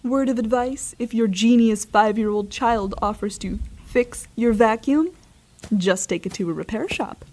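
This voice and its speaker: A woman plays a kindly mother in an audio drama, long used to her genius child's antics. Her voice is on the high side. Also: unhurried, darkish tone, lightly acted. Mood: fond, wary. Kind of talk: scripted audio drama acting.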